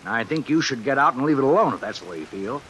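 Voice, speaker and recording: A folksy, paternal older small-town lawman, played by a male actor in vintage radio drama style. He talks down in a wry, fatherly way at a medium pitch. The old recording hisses faintly under rain. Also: animated, rapid-fire, fairly bright tone, close to an everyday voice, poor audio. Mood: lecturing, wry. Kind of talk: 1950s radio drama acting.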